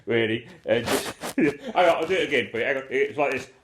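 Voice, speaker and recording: A man's voice: mid-range voice, brisk, background noise. A man chatting casually and comically, with a jokey, self-deprecating and slightly rambling, hesitant manner.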